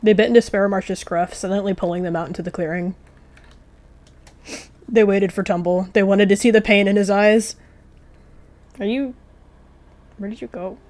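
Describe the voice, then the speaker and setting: A woman's voice: mid-range voice, fairly even intonation, fairly quick, darkish tone. A woman narrating a video game roleplay in story style, with casual asides to viewers. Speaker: gaming video creator.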